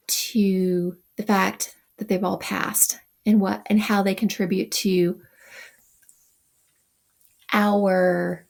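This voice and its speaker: Woman reading and commenting on a text, gravelly. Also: mid-range voice, darkish tone. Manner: reads passages then adds wry asides. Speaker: teacher.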